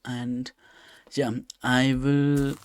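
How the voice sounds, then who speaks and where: A man's voice: mid-range voice, flat delivery, very slow, clean tone. A man giving casual, unscripted video game commentary, rambling and thinking aloud with hesitation. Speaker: let's play host.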